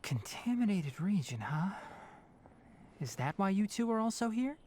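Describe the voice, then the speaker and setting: A woman playing a brisk, stern but caring young male forest watcher in a video game, precise and no-nonsense. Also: medium pitch, very animated, dark tone, a little hiss or hum. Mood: resolute.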